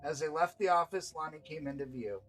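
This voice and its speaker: A man's voice: a little high, a little fast, a bit bright, faint music behind. A man reading fantasy story narration as the host and narrator of a game.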